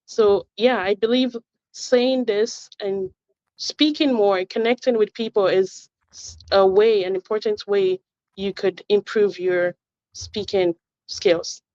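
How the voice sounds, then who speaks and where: A woman's voice: mid-range voice, steady tone, slow, darkish tone, no room sound. A woman, a language tutor answering interview questions earnestly and carefully, with frequent fillers. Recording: dull, muffled audio.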